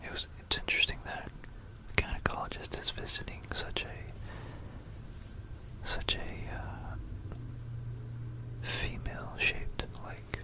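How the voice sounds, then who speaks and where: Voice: a little slow, long pauses, rough recording. An ASMR narrator from Florida with an American accent whispers a musing, explanatory history talk close to a stereo microphone. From Florida, United States. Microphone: close stereo binaural microphone.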